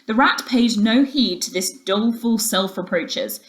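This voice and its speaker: A woman's voice: a little high, unhurried, echoey room. A woman narrating a children's story aloud as a teacher reading to a class.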